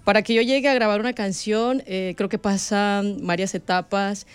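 A woman's voice: medium pitch, fairly even intonation, moderate pace, clean tone. A woman from El Salvador with a Salvadoran accent, chatting casually and with a giggly manner as a guest singer in an interview. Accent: Salvadoran Spanish.

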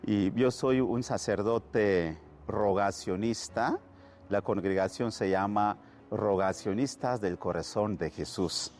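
A man's voice: fairly low pitch, slow, a little mellow, a little hiss or hum. A man from southern India with an Indian accent, a priest introducing himself in unscripted talk. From Kerala, southern India. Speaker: priest, interview guest.

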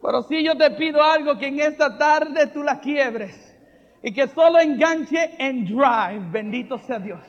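A man preaching a charismatic sermon, fervent and rising to shouted refrains, with joking asides and soft laughs. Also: extremely high pitch, a little slow. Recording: reverberant hall, dull lo-fi sound.

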